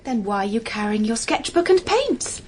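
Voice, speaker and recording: A woman's voice: fairly high pitch, very animated, moderate pace, darkish tone, slight background noise. An actress playing an earnest, naive Victorian girl in an audio drama, with a pleading, emphatic way of talking. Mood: eager, pert. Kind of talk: period audio drama acting.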